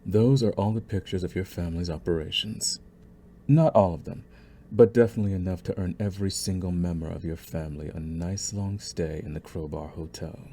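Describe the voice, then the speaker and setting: A man's voice: low voice, a little fast, warm tone. A man playing a young, possessive mafia boss in a scripted ASMR audio roleplay, taunting and condescending in a theatrical, menacing way. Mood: smug menace. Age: young adult.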